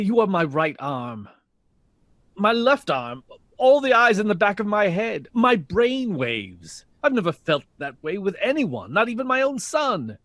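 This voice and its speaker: Impassioned, proud bandleader, dry close sound. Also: male voice, high-pitched, fairly bright tone, no echo, heavily acted. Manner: earnest, impassioned. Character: proud, pleading male big band leader in the 1960s. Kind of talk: audio drama acting.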